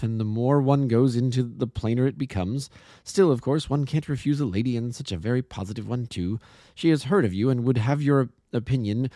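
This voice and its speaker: A man doing a brisk self-assured police inspector. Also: medium pitch, dark tone, no room sound, studio quality, close to an everyday voice.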